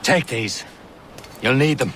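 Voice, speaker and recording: A man's voice: medium pitch, slow pace, lightly acted. A man playing a practical assassin who takes charge of shipmates urgently in a game. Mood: urgent, commanding. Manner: urgent, commanding. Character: practical, commanding assassin peacemaker among shipmates.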